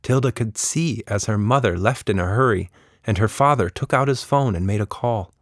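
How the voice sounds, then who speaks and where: A man's voice: lowish voice, moderate pace, no room sound. A man hosting and narrating a children's story podcast expressively, recorded up close.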